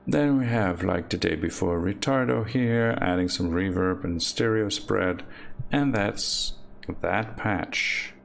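A man slowly talking through his modular synth patch over a screen recording, halting with fillers as he points things out. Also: deep voice, gravelly, a little hiss or hum. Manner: slow, halting explanation with many fillers. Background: synth music playing underneath.

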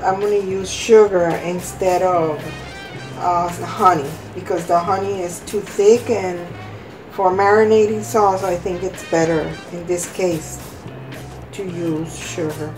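Slow, slightly hoarse woman in her kitchen. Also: lowish voice, steady tone, over music, poor audio. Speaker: cooking vlog host. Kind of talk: casual cooking demonstration. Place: home kitchen, indoors.

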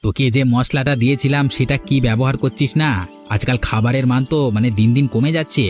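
A man's voice: medium pitch, steady tone, fast, soft background music, lightly acted. A man voicing a bossy, stubborn village hotel owner in a Bengali cartoon, scolding and domineering. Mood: irritated, bossy.

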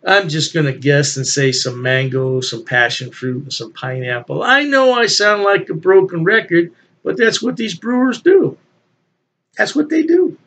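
A man's voice: on the high side, expressive, moderate pace, fairly bright tone. A man in his sixties chatting casually to camera as he hosts a review, enthusiastic and emphatic, often repeating phrases for emphasis. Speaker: beer reviewer, channel host. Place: small indoor room at home.

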